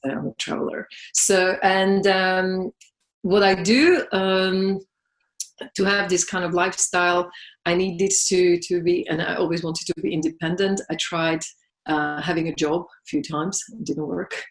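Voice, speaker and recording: A middle-aged woman with a Slovak accent talks in a fairly low, chatty voice, full of fillers and little laughs. She speaks English as a second language, and her phrasing shows it. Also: little pitch movement, a little slow. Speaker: guest, massage therapist and business owner. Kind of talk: casual interview chat.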